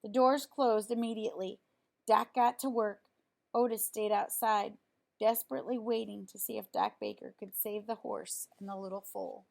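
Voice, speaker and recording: A woman reading a children's picture book aloud in an expressive way, as if to young listeners. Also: medium pitch, moderate pace, gravelly. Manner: expressive, explains words simply to children. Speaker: storybook reader.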